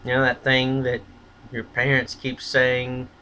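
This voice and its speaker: A man's voice: mid-range voice, monotone, unhurried, pushed and bright, slight background noise, low-quality recording. A man delivering a blunt, profane, contemptuous opinion rant as a solo vlogger.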